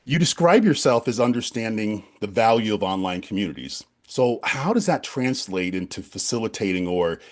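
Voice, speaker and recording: A man's voice: lowish voice, lively intonation, normal speed, few pauses. A man co-hosting a podcast who asks enthusiastic, long follow-up questions. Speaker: podcast co-host. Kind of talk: interview hosting.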